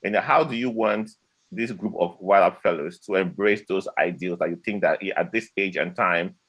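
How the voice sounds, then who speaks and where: A young man with a very low voice puts a long, rambling question to his guest, padding it with fillers. The sound is dry, with no room echo. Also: normal speed, fairly bright tone, slightly rough. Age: young adult. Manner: filler-heavy, rambling phrasing. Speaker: interviewer.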